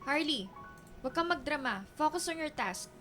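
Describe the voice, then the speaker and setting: High-voiced, stern office manager. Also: female voice, animated, moderate pace, bright, forceful tone, soft background music. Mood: cold, threatening. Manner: curt, stern, commanding. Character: cold, threatening female office manager. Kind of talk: radio drama acting.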